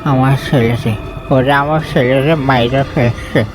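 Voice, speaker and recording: An anguished ghost of a father, acted by a male storyteller, full of grievance and vengeance. The voice is rustic and mournful, from a cartoon horror tale, over clear music. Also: mid-range voice, steady tone, a little slow, pitched above the performer's own voice, close to an everyday voice, rough recording.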